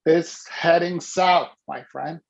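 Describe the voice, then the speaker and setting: A male business coach with a gravelly, creaky edge to his voice talks over a muffled video call. He is animated and teasing as he coaches a participant, calling them out by name. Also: fairly high pitch, takes it slowly.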